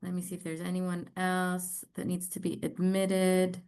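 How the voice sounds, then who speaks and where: A middle-aged woman with an American accent and a slightly low voice. She presents to an online group in a halting way, pausing often and stretching out words as she explains. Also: monotone, darkish tone, clear, resonant tone. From New York, United States. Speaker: social enterprise representative presenting.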